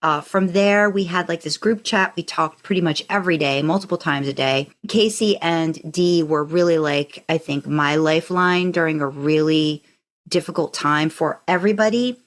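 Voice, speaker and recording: Lower-voiced woman chatting on a podcast. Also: normal speed. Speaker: podcast co-host. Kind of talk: casual conversational storytelling.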